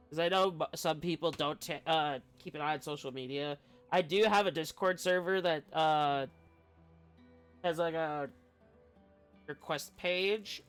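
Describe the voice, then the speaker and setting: A man's voice: on the high side, measured pace, a bit bright, clear, resonant tone. A man giving casual, rambling commentary as a game streamer, often breaking off mid-sentence. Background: faint game music.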